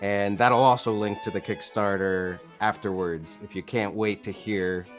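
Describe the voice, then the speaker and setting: A man's voice: on the low side, steady tone, a little slow, soft background music. A man hosting a podcast in a casual style. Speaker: podcast host.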